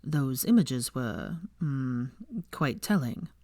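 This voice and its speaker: A woman voicing a smug young man, amused and teasing, with a slow, deliberate drawl in a story reading. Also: very deep voice, expressive, mellow, no echo, studio quality, voice pushed down, heavily acted.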